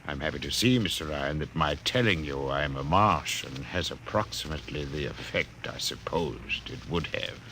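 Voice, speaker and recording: Martian businessman: low register, courteous and exact, animated intonation, vintage radio play. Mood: measured, businesslike. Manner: formal, precise, measured diction. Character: courteous, self-possessed Martian businessman, formal and deliberate. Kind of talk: old-time radio drama acting. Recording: noisy old radio recording.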